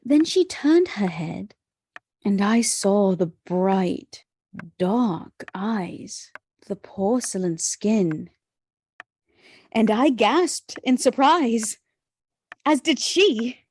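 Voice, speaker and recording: An actress playing a sheltered, eager young gentlewoman in a radio play, with an earnest, measured delivery. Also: mid-range voice, expressive, slightly rough, exaggerated voice. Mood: awed. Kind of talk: dramatic radio play acting.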